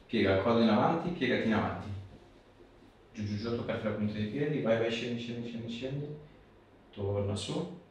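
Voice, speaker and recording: A man's voice: mid-range voice, fairly quick, pauses often. A man in his thirties speaking informally as a chiropractor, guiding a patient with questions and short, repeated commands. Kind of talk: hands-on patient consultation with questions and short instructions. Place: small treatment room indoors. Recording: very echoey small room, distant mic, faint noise.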